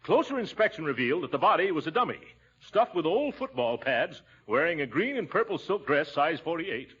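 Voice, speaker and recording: A man playing a pompous, easily flustered middle-aged uncle in 1940s radio comedy style, with a husky voice. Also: on the high side, very animated, a little fast, fairly bright tone, full character voice. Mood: worried. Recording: dull 1940s radio broadcast recording.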